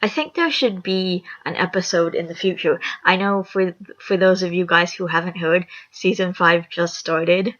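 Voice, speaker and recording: A young woman with a raspy voice, reading a horror fan story aloud, rambling and apologetic with chatty asides. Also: lowish voice, normal speed, few pauses, fairly bright tone. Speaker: story reader. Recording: lo-fi dull recording.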